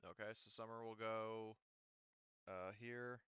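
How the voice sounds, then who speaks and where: A man acting as game master, casually narrating and refereeing a tabletop game in a halting, think-aloud way. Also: lowish voice, steady tone, a bit bright, clear, resonant tone, no echo, highs cut off. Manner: halting, thinking aloud while working out details.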